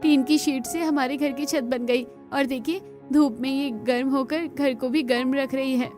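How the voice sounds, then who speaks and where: Woman playing a high-voiced, hopeful young daughter-in-law. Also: fairly even intonation, normal speed, a little mellow, soft background music, natural voice. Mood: cheerful. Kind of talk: cartoon story dialogue.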